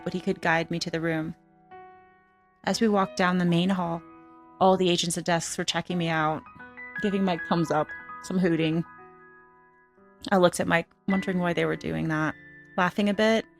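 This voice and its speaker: Actress doing a brazen tough lady telling her story, kinda low, wry and crude, soft music behind. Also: creaky. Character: hard-boiled, brazen female ex-trafficker telling her story. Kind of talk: first-person film narration.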